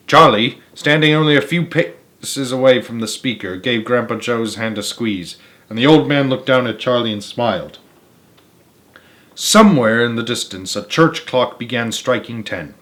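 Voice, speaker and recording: A man narrating a children's novel aloud as a storyteller, expressive and emphatic, sometimes halting and repeating a phrase. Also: mid-range voice.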